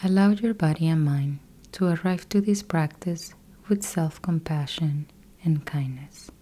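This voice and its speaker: A woman leads a guided meditation in a mellow, breathy voice with a husky edge. She speaks very slowly and gently, in calm, measured phrases with long pauses between them. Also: sits low. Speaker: yoga nidra meditation guide. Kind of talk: guided meditation, slow instructional.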